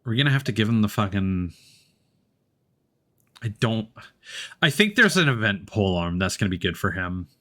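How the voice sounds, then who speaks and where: A man's voice: lowish voice, expressive, moderate pace, long pauses, a bit bright. A man livestreaming a video game, thinking aloud in a casual, rambling way and swearing now and then. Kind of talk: casual gaming stream chat.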